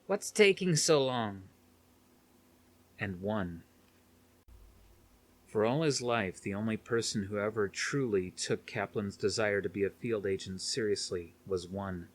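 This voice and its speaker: Low-voiced man narrating a thriller. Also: unhurried, breathy edge. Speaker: audiobook reader. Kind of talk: scripted narration of a thriller novel.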